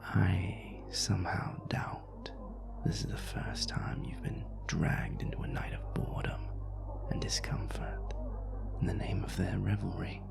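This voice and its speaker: A man playing a suave, seductive vampire in an intimate audio roleplay, murmuring in a breathy, gravelly voice with flirtatious, elegant drawl. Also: low voice, steady tone, a little slow, in a whisper, heavily acted. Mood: gentle, probing. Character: suave seductive male vampire stranger, teasing, world-weary. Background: music.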